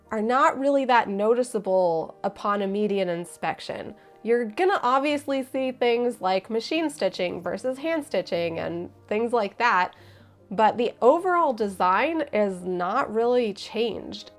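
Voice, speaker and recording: A young woman with an American accent, giving a scripted, earnest explanation of a historical sewing project. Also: mid-range voice. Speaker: costume maker.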